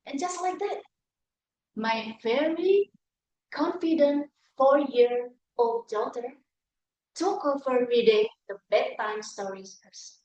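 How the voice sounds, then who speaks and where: A woman's voice: a little high, slow, darkish tone, far from the mic. A middle-aged woman from Indonesia giving a prepared humorous speech at a speaking club, telling stories about herself. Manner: storytelling with self-deprecating humor. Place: meeting room. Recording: echoey room, voice from afar.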